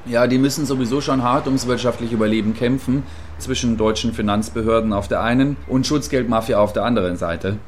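A man playing an earnest field reporter in a radio play, giving wordy, factual and deliberate live reports. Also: mid-range voice, slight background noise, natural voice. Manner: factual, deliberate, long-winded.

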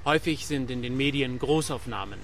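An actor playing a self-righteous judge making a deliberate, bureaucratic statement in a satirical radio play. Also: male voice, medium pitch, gravelly, fairly bright tone. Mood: dry, dismissive. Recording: noisy outdoor recording, traffic.

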